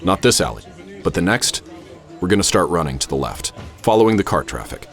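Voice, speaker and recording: Gentleman adventurer, low lively voice, measured dramatic storytelling, radio drama, music underneath. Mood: wary, commanding. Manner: measured, literary, theatrical storytelling. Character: adventurous, wary gentleman professor recounting his exploits. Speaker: narrator and lead character. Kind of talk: radio theater, dramatic first-person narration.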